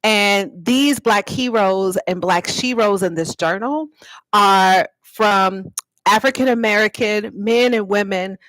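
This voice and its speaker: A woman's voice: mid-range voice, slow, fairly bright tone, dry recording, low-quality recording. A woman talking informally to livestream viewers as an author and host, explaining things and inviting them to respond. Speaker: author and host of a live read-aloud.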